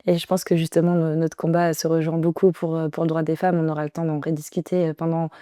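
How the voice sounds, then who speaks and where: Woman podcast host, hesitant questions, warm smooth low voice. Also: flat delivery, fast talker, no room sound, clean studio sound. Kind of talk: interview questions.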